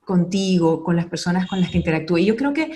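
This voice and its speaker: A middle-aged woman from Venezuela, a guest speaking earnestly and thoughtfully in a radio interview, pausing to reflect. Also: on the low side, expressive, dark tone.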